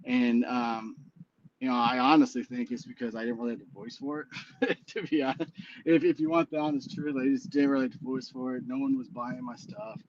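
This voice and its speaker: A man's voice: mid-range voice, steady tone, fairly quick, lo-fi sound, slight background noise. A man in his forties, a guest on a casual chat show, talking in a rambling, hesitant way with many fillers and restarts. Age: 40s. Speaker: guest musician.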